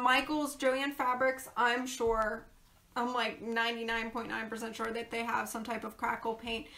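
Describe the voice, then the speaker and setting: A woman's voice: fairly high pitch, a bit bright. A woman who is losing her voice, chatting casually and rambling with lots of ums and laughs while hosting a crafting video. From Pennsylvania, USA. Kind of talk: casual crafting livestream chat.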